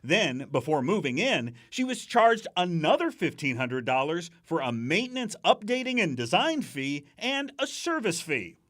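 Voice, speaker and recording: A male investigative reporter narrates a TV news story. His voice sits high for a man and swings widely in pitch, giving the report an animated delivery. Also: moderate pace, few pauses.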